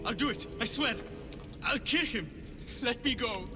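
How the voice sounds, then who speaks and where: A man's voice: extremely high pitch, lively intonation, measured pace, in a large hall, noisy background. A man acting a frightened underling in a video game, pleading and insisting that he can prove himself. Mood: desperate. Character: desperate young male underling, frightened but eager to prove himself.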